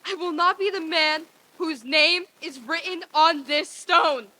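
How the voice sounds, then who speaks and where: A woman's voice: very high-pitched, measured pace, pushed and bright, full character voice. A woman playing a frightened, pleading old miser in an old-time radio play reading on stage. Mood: desperate pleading. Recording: hissy, noisy live stage recording.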